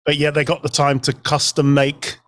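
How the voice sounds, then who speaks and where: A man's voice: fairly high pitch, monotone, moderate pace. A man speaking as a podcast guest, explaining details in an informal, conversational style. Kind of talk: informal fan discussion.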